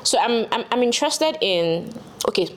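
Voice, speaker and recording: A woman's voice: a little high, expressive, normal speed, a little hiss or hum. A woman hosting a YouTube interview, chatting in an upbeat, giggly way. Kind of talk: casual interview chat.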